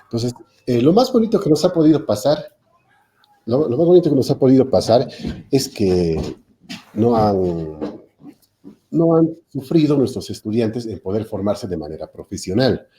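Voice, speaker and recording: A man's voice: medium pitch, big pitch swings, normal speed, warm tone, slight background noise. A man, a technical institute lecturer explaining online teaching in a panel talk, hesitating with frequent fillers and self-corrections over a video call.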